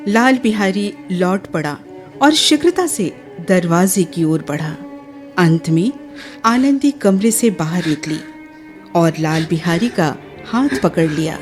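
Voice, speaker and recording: A woman narrating a radio drama as a scripted story, in a measured and expressive way. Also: on the low side, music in the background.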